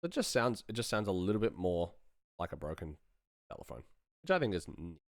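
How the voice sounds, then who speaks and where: A man's voice: sits low, big pitch swings, brisk, dry recording, studio quality. A man giving a casual sound design tutorial on a livestream, rambling and thinking aloud as he works.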